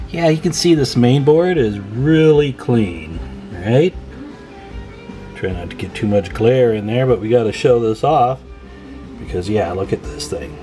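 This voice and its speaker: A man's voice: mid-range voice, a little mellow. A man talking casually and colloquially to viewers as he narrates a hands-on technical demonstration, with chatty asides. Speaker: radio repair technician, video host.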